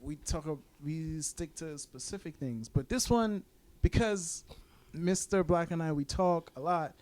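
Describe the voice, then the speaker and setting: A man's voice: a little high, measured pace, darkish tone. A young man hosting a casual podcast interview, loose and joking. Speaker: podcast host.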